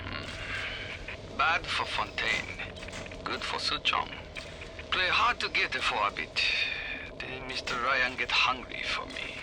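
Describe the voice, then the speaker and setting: Smug scientist. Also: male voice, mid-range voice, animated, slow pace, background noise. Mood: smug, scheming. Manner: broken English, dry, self-satisfied. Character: smug, self-serving male scientist, cold and calculating. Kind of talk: video game audio diary acting. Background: loud game music.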